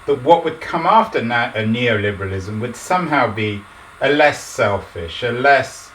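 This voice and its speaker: A man's voice: mid-range voice, animated, moderate pace, a bit bright. A man hosting an interview podcast, asking probing, emphatic questions. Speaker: podcast host. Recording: echoey room, distant mic, faint noise.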